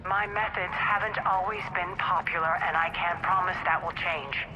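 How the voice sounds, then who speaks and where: An actress playing an imperious female alien ruler making a forceful broadcast proclamation in a video game. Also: steady tone, moderate pace, rough recording. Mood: resolute, commanding. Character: triumphant female alien ruler addressing her station. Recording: band-limited broadcast speech with faint rumbling noise.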